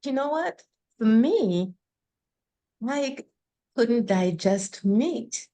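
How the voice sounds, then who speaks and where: An older woman from Trinidad and Tobago with a Trinidadian accent, speaking as an interview guest in an unhurried, measured way with soft laughs. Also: a little high, animated. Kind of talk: interview conversation.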